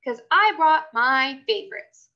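An eager, chatty little girl from a storybook, acted by a woman, high and childish. Her speech is excitable and bounces around in pitch, on a slightly dull recording. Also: unhurried. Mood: excited.

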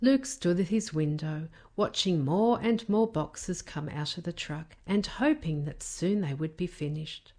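A woman reading a children's story as a careful, expressive narrator. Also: lowish voice, measured pace, few pauses. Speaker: storybook reader.

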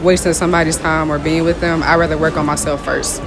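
A woman's voice: sits low, little pitch movement, fast talker, heavy background noise. A young woman from Kannapolis, North Carolina, giving blunt, self-assured, brief answers. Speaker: interviewee. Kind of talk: casual answers. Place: outside a store entrance. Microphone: interviewer's handheld phone. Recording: background music under voices.

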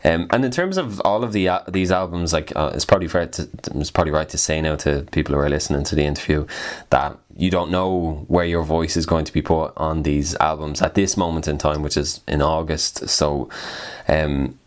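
Very deep-voiced male interviewer. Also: a little fast. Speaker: podcast host, interviewer. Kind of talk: casual interview questions.

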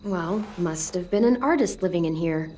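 A woman playing a guarded, weary teenage girl survivor in a video game, speaking in a measured, matter-of-fact way. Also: fairly low pitch, animated, warm tone, slight background noise, natural voice. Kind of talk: video game drama dialogue.

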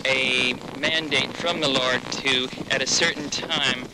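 A man narrating a religious documentary in a grave, deliberate way and questioning an interviewee. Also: mid-range voice, fairly even intonation, background noise. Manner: slow, grave, deliberate reading.

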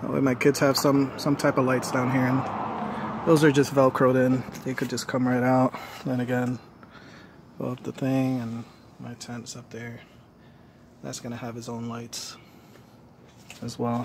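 A man's voice: mid-range voice, completely flat delivery, a little slow, pauses often, background noise. A middle-aged man casually showing his homemade camping trailer, talking in a loose, rambling way with many fillers, filmed outdoors on a handheld phone. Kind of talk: casual walkthrough. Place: outdoors on a residential lawn. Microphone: phone camera held in hand.

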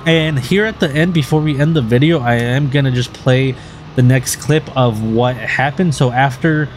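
A man's voice: mid-range voice, moderate pace, a little hiss or hum. A man narrating his motorcycle vlog in an earnest, rambling way with many fillers and restarts. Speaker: motovlogger host. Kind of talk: casual voice-over vlog narration.

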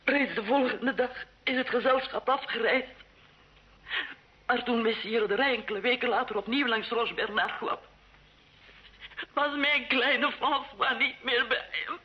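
A woman plays a humble, sorrowful peasant mother in an old radio drama. She speaks slowly, meekly and haltingly. The recording is dull and lo-fi. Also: fairly high pitch, natural voice. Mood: grieving, humble. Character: timid, grieving poor peasant mother. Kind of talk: Dutch-language radio play acting.

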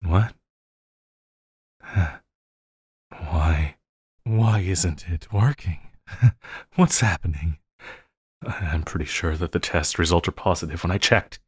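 A man playing a possessive supervillain lover in an intimate audio roleplay, coaxing and pleading with a halting delivery and quiet menace. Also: sits low, husky, dry recording, studio quality, heavily acted. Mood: baffled.

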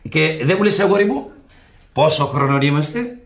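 An actor playing a pompous, condescending dinner guest in a radio farce. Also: male voice, fairly high pitch, expressive, gravelly. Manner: sententious, arch, mock-polite. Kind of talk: radio play farce acting.

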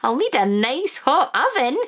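A woman's voice: very animated, measured pace, exaggerated voice. A woman putting on a chirpy granny. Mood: cheery. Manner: chirpy, high and bright. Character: cheerful magical old Scottish granny.

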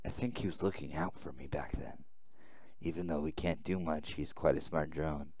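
A man reading a visual novel aloud as a weary, confused young male prisoner, subdued and halting. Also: very deep voice, fairly even intonation, a little mellow, breathy edge, voice pushed down.

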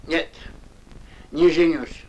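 A man's voice: a little high, steady tone, extremely slow, lo-fi sound, background noise. A man voicing a stingy, self-important old mole with a pompous, grumbling manner in a vintage cartoon. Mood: calculating. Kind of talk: Soviet animated fairy-tale acting.

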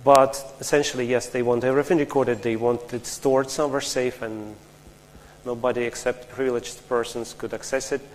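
A man's voice: mid-range voice, steady tone. Man speaking in a lecture hall. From Russia. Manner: explanatory, halting with restarts, questions the audience. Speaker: conference speaker. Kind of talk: technical conference talk.

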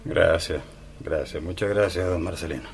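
Actor as an anxious, creaky-voiced shopkeeper. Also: male voice, sits low, normal speed, faint music behind. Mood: dejected, hesitant. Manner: hesitant, repeats himself. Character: anxious, downcast married shopkeeper sinking into debt. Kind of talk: radio drama acting.

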